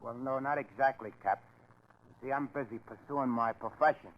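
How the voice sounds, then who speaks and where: A man's voice: mid-range voice, normal speed, natural voice. A man acting a chatty street photographer in a 1940s radio crime drama, breezy and full of streetwise slang. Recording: muffled 1940s radio recording.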